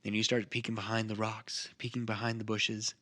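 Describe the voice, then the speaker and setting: A man's voice: fairly low pitch, completely flat delivery, fairly quick, pushed and bright. A man casually narrating a tabletop game as game master among friends.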